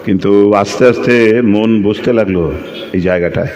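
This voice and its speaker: An actor playing a solitary, reflective lighthouse keeper in an audio play, narrating in literary with a measured, expressive delivery. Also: male voice, on the low side, close to an everyday voice. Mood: reflective, wistful. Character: solitary, reflective Bengali lighthouse keeper telling his story. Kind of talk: literary first-person dramatic narration.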